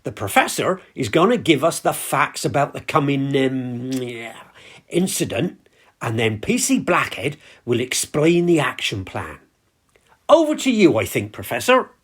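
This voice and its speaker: A man playing a fussy, flustered village councillor in an amateur audio play, husky and officious. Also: medium pitch, very animated, measured pace. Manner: officious, exasperated, declamatory.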